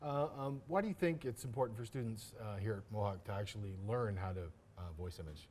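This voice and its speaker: A man's voice: lowish voice, a little fast, darkish tone. A man interviewing a guest, asking his questions hesitantly and answering with brief affirmations. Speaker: student interviewer.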